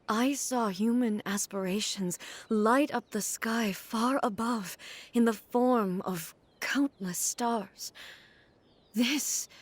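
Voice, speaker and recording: A woman voicing a composed, formal female ruler in a game, speaking calmly and with measure. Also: a little high. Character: regal, composed female ruler, calm and solemn.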